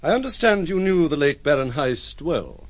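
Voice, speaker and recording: An actor playing a formal, courteous high official questioning a witness in an audio drama. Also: male voice, very animated, normal speed, dry recording.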